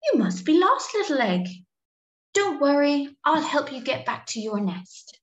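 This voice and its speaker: A woman's voice: sits high, big pitch swings, measured pace, voice pushed up. An Irish woman voicing an earnest, determined young female puffin chick in a storybook, exclaiming insistently. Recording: muffled, echoey room recording.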